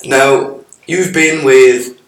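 A man hosting a podcast, croaky from a sore throat, jokey and enthusiastic with his guest. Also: mid-range voice, fairly even intonation, very slow. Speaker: podcast host. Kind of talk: casual podcast chat. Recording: roomy echo.

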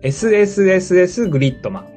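A man's voice: high voice, lively intonation, fast, a little mellow, soft background music. A man in his thirties casually chatting, reacting and asking questions as a podcast co-host.

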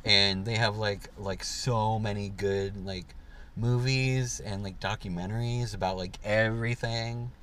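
A man's voice: fairly low pitch, fairly even intonation, a little slow, fairly bright tone, a little hiss or hum. A young man co-hosting a podcast, chatting casually from a parked car and rambling with lots of fillers. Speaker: podcast co-host. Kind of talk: casual chat.

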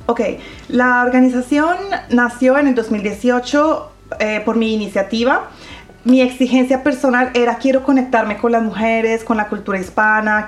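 A middle-aged woman talks in a fairly high voice, and the room gives her an echoey sound. She explains things steadily and stops now and then to think. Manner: steady, explanatory, with pauses and fillers. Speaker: radio show host, interview guest. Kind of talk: conversational radio interview.

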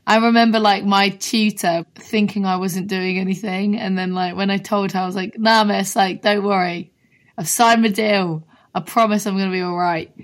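Husky-voiced young woman, chatty and casual. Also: mid-range voice, fairly even intonation, moderate pace. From London. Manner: chatty, peppered with "like". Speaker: singer-songwriter guest. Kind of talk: casual interview chat.

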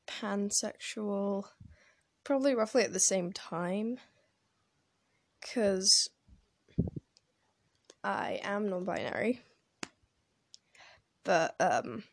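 A woman who grew up in France speaks slowly and unhurriedly into her phone, her voice sitting at a middle pitch. She rambles a little, pausing and reaching for her words as she goes, and the sound is dry and close with no room around it. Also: dry recording. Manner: hesitant, rambling, many pauses and fillers. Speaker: vlogger. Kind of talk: casual personal talk to camera.

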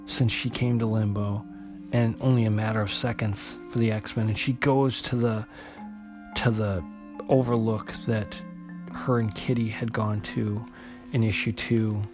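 A man narrating an unscripted recap of a story as a host, in a halting way, often repeating and restarting his phrases. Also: on the low side, fairly even intonation, music in the background. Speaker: host retelling a comic book story.

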